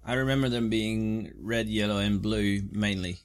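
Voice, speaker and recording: A man co-hosting a casual podcast, answering in short dry replies and quick bursts. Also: lowish voice, smooth. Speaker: podcast co-host. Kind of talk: casual chat.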